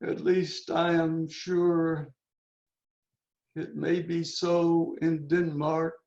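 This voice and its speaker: A man acts out a feverish young prince in a Shakespearean stage reading. His fairly high voice has a creaky, gravelly grain, and he declaims with brooding intensity, dwelling on words. It is heard in a very echoey room. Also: level tone, very slow, darkish tone. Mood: outraged. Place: indoors on a video call. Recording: roomy, echoing, muffled video call audio.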